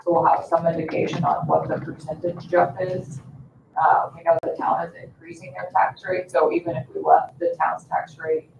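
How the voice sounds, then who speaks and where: A woman's voice: deep voice, flat delivery, moderate pace, creaky, darkish tone. An American woman with a financial adviser's role, explaining things informally at a public meeting. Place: indoor public meeting room. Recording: roomy, distant, muffled, faint room noise.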